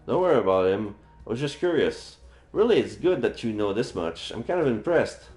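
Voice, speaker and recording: A man's voice: mid-range voice, big pitch swings, normal speed, darkish tone. A man reading visual novel dialogue and narration aloud as a game streamer. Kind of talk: live read-aloud of visual novel lines. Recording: faint game music behind.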